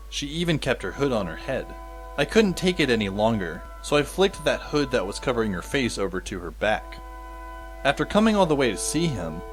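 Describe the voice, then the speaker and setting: A man playing a wry, weary teenage schoolboy who narrates an audio drama in a dry, deadpan way. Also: medium pitch, close to an everyday voice. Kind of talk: scripted first-person audio drama narration.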